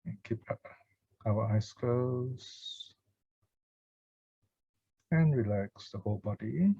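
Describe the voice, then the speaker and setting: A man speaking gently and with pauses as a Buddhist teacher, chanting and guiding meditation. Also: mid-range voice, creaky, breathy edge, dry recording. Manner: gentle, measured, pausing between short phrases. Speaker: Buddhist teacher leading puja and guided meditation. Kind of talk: Pali chanting and guided meditation instruction. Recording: dull, lo-fi online call sound.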